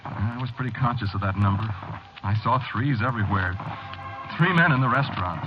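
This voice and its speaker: Actor as haunted, low-voiced man in old radio horror. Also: natural voice. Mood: agitated, unnerved. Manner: halting, brooding, confiding in the listener. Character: anxious, haunted office man recounting his obsession. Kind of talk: old-time radio horror acting, first-person narration. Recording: dull old radio drama recording, music behind.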